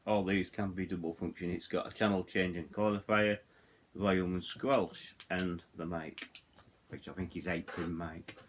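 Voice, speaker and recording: A man's voice: deep voice, fairly even intonation, normal speed, slight background noise. A middle-aged man with a Yorkshire accent giving a casual hands-on product walkthrough, rambling and repeating himself as he goes. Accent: Yorkshire English. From Yorkshire, England. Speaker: hobbyist radio reviewer.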